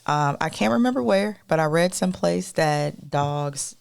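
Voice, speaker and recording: A woman co-hosting a podcast in a casual, rambling monologue with a soft, melodic voice, talking straight to listeners as y'all. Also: sits low, lively intonation, unhurried, creaky, smooth. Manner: rambling, addresses listeners as y'all, many fillers. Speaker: podcast co-host.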